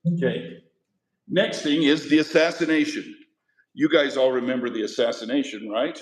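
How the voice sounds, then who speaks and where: A man's voice: mid-range voice, fairly even intonation, normal speed. Male history teacher lecturing in an echoey classroom. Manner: conversational, asks the class questions.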